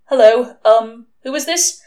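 A woman's voice: on the low side, animated, brisk, darkish tone, lightly acted. A woman voicing a sardonic male demon who speaks dryly and bluffs when cornered. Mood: bluffing. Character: smooth sardonic male demon, cool and evasive.

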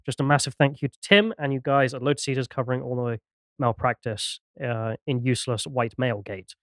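A man's voice: medium pitch, fairly quick, a bit bright, dry recording, studio quality. A man hosting a casual panel chat and reading out viewer messages.

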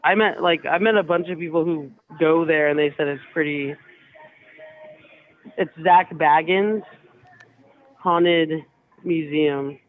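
A man's voice: fairly high pitch, takes it slowly, long pauses, faint music behind. A young man on a telephone call, speaking casually and hesitantly as a hotel employee, over a tinny, narrow line. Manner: hesitant, filler-heavy, informal. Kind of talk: casual phone conversation.

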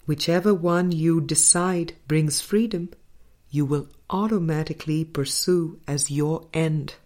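A woman narrating a spiritual audiobook from a script, in measured, deliberate phrases with frequent pauses. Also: low voice, darkish tone. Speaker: audiobook reader.

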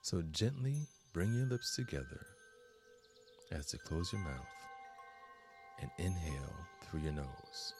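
A man hosting a guided meditation, giving calm, deliberate instructions in measured phrases with long pauses. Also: deep voice. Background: soft meditation music with chimes.

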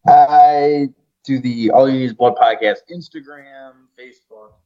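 A man's voice: mid-range voice, steady tone, a little slow. A man in his thirties chatting casually as a podcast co-host, sounding muffled and away from the microphone. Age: 30s. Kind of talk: casual chat.

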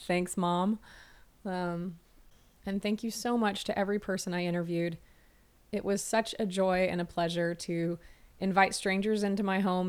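A woman's voice: lowish voice, a little mellow, smooth. A woman in her forties hosting her own podcast, speaking in a friendly, grateful way and laughing easily. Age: 40s. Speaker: podcast host and interviewer. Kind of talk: podcast intro and interviewing. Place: home.

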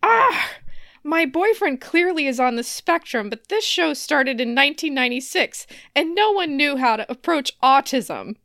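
Woman doing a frantic, high-pitched melodramatic teen girl. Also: lively intonation, moderate pace, bright, forceful tone, dry recording, clean studio sound, full character voice. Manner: overwrought. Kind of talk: parody table read of TV family drama.

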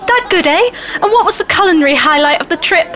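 A woman's voice: extremely high pitch, lively intonation, quick pace, a bit bright. A woman playing a chatty, squeamish wife in a comedy sketch. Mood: curious. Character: Emily, chatty, squeamish wife at a dinner table.

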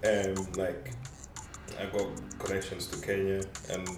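A man's voice: low voice, monotone, unhurried, noisy background. A young man from East Africa chatting casually, easygoing and agreeable. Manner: easygoing, agreeable, frequent backchannels. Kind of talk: casual chat. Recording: distant mic in an echoey room with music.

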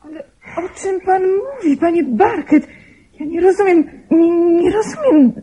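Anxious, high, soft-toned young woman. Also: expressive, a little slow. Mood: bewildered, anxious. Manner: hesitant, pleading, repeating herself. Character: anxious, helpless young woman in a troubled household. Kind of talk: Polish radio play acting.

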